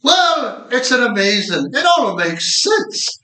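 A man's voice: very high-pitched, big pitch swings, slow pace, sharp tone, exaggerated voice. An amateur actor playing a folksy patrolman in a community radio play, recorded in an echoey room. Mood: amazed. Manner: wondering, folksy. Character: folksy, amazed male beat patrolman.

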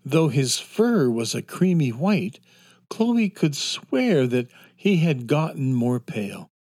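Man reading a kids story slow and lively, dry close mic, soft music under. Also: medium pitch, no echo. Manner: slow, expressive. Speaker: narrator. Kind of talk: storybook narration.

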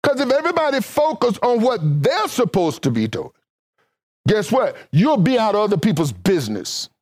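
A male preacher with a notably high voice, delivering a sermon in fervent waves that climb and then drop back down. His talk stays casual and conversational, and the sound is close and dry with no room echo. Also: huge pitch swings, moderate pace. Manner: fervent, rising and falling preaching cadence.

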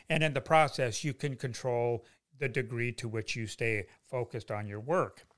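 A man's voice: medium pitch, fairly bright tone, clear, resonant tone. A man hosting a solo tech podcast in a semi-scripted, conversational way with folksy asides. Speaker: podcast host.

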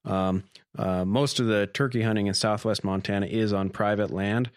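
A young man, a researcher being interviewed, explaining things informally in a hesitant, careful, measured way. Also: on the low side, fairly even intonation, smooth, no echo, clean studio sound. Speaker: graduate student researcher, interview guest.

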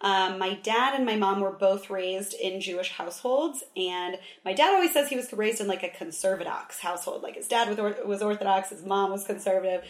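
A woman's voice: medium pitch, a little fast, few pauses. A young woman from Southern California, chatting playfully as an interview guest about her life. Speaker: guest, Hillel director of engagement. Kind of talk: casual interview. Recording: echoey room.

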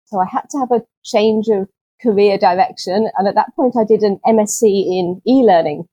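A woman guest on a nature podcast, answering in a gentle, unhurried way with small restarts and soft laughs, sounding a little low on energy. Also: husky, no room sound, poor audio. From UK, not Scotland. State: chronically ill, speaks with low energy. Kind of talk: conversational interview answers.

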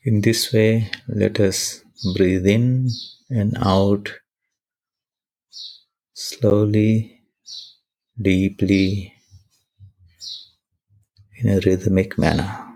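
Very slow, low-pitched man guiding meditation. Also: fairly even intonation, creaky, a little mellow, low-quality recording. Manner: measured, deliberate, with pauses between short phrases. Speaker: meditation guide. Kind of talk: guided meditation with prayer.

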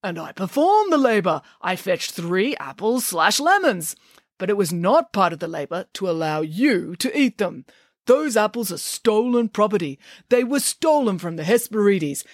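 A woman's voice: no echo, studio quality. A woman voicing an honourable but dim-witted male hero, earnest and naive, in a storybook reading. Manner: earnest, naive, squeaky exclamations.

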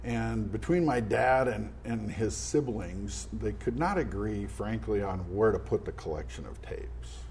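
A man with a low, warm voice talks through a family history in a thoughtful, earnest way. He often backs up and restarts phrases as he thinks. A faint background hiss sits under the recording. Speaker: interview guest. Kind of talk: conversational storytelling.

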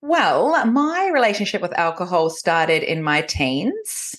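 A woman in her forties with a New Zealand accent, chatting as a podcast guest and telling personal stories in an animated, conversational way. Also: lowish voice, takes it slowly. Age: 40s. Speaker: podcast guest, recovery coach.

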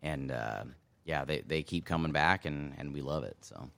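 A man's voice: rumbling low, moderate pace, gravelly, fairly bright tone, no echo. A man in a band, chatting easily and laughing often on a podcast. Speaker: band member. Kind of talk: casual podcast chat.

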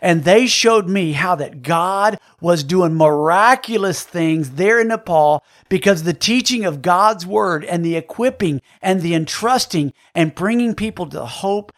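A man hosts an interview with enthusiastic, preacher-like emphasis. His voice sits a little higher than most men's. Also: a bit bright. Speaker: interview host. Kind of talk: faith interview hosting.

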